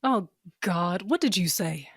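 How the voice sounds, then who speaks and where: A woman's voice: fairly low pitch, animated, unhurried, slightly rough, no echo, clean studio sound, heavily acted. A woman playing a caring, earnest mother in a fantasy audio drama, with a natural conversational delivery. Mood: surprised, curious. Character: worried, caring mother held in a fantasy jail.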